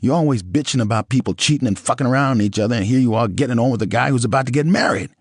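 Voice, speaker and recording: A man's voice: medium pitch, racing, slightly rough, no room sound, studio quality, voice pushed up. A man reading an audiobook, voicing a blunt, exasperated ex-husband in a raised voice. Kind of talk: audiobook character dialogue.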